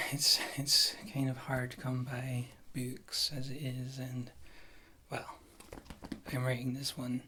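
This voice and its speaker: A performer playing a wry, condescending witch healer in a whispered ASMR fantasy roleplay, with a soft, breathy voice and a halting delivery. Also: medium pitch, background noise, natural voice. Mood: calm, guarded. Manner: slow, halting, dryly disdainful.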